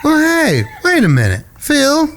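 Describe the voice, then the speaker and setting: A man's voice: extremely high pitch, huge pitch swings, measured pace, fairly bright tone, slight background noise, heavily acted. Guy doing a chatty needling american cowboy, folksy and simple-hearted, voice swoops up and down, audio western. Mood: puzzled, concerned. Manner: folksy, needling, rustic grammar. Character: teasing, talkative, simple-hearted cowboy brother. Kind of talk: Western audio drama acting.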